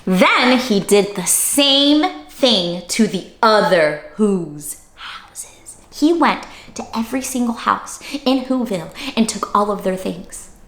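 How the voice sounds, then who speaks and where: A woman reading a children's storybook aloud in an expressive, dramatic way, addressing young listeners directly. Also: medium pitch, slow. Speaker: children's read-aloud host. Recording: roomy, echoey sound.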